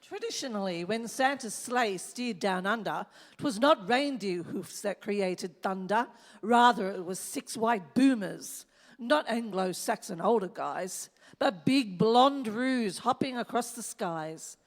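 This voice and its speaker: A woman's voice: medium pitch, animated, takes it slowly. A woman playing a chatty, wisecracking radio reporter in the underworld, in a rhyming stage radio play with a wry manner. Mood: playfully informative. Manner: chatty, wry, playfully stalling. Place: live stage in a hall. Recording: echoey hall with long reverb.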